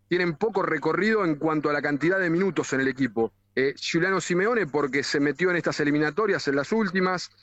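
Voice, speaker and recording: A man's voice: on the high side, fast talker, hardly any pauses, fairly bright tone, no room sound. An Argentine man with a Rioplatense accent reporting live on sports radio and interviewing people on the street. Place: outdoors in the street outside a stadium. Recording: narrow band live radio link.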